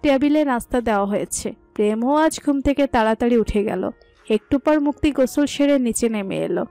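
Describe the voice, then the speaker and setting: A woman's voice: a little high, moderate pace, warm tone, soft background music. A woman reading a scripted story as its narrator.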